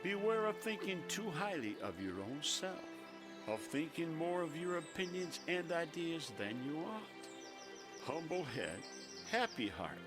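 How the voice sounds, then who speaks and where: Casting: male actor for a dignified, kindly elderly owl, slow and courtly, highish voice, animal audio story. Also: very animated, music in the background. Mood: gently advising. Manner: courtly, measured, avuncular.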